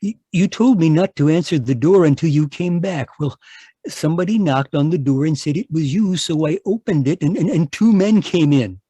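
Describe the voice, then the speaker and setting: Man acting a timid, stammering, husky runaway husband. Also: a little high, normal speed, warm tone, no echo. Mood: nervous. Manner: stammering, hesitant, fretful. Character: timid, anxious runaway husband, Tom Turkey. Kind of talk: old-time radio drama acting. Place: live online performance over video call.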